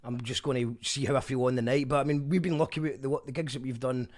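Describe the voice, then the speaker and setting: A man hosts a casual radio chat at a mid pitch. He talks in a friendly, halting way, with fillers and repeated words as he gathers his thoughts. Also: fairly even intonation, darkish tone. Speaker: radio show host. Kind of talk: casual interview chat, reading listener comments.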